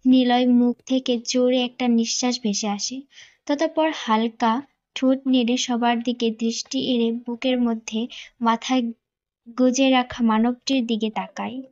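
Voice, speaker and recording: A woman's voice: fairly high pitch, little pitch movement, unhurried, darkish tone, muffled recording. A woman reading a scripted romantic story as a dramatic narrator.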